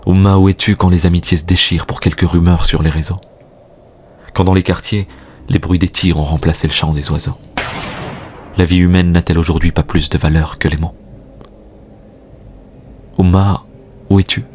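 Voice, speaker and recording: A man with a husky voice recites spoken-word poetry in a solemn, earnest, exhortatory manner, posing rhetorical questions. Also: deep voice, fairly even intonation, moderate pace, pauses often, a little mellow, slight background noise. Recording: music bed behind the voice. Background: music.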